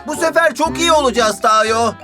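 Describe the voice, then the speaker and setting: A woman's voice: high voice, moderate pace, music in the background, natural voice. A woman voicing an eager, mischievous young boy race car in a cartoon dub, boastful and impulsive.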